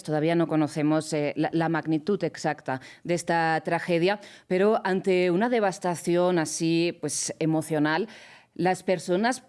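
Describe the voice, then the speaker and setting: A woman hosting a television news debate, guiding the panel with measured questions and frequent hesitations. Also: fairly low pitch, darkish tone, clear, resonant tone. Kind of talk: moderating a panel discussion.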